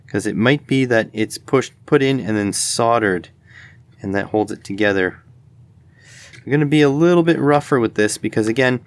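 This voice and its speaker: A man's voice: lowish voice, smooth. A man giving casual hands-on commentary as host of an electronics teardown video, tentatively thinking aloud as he goes.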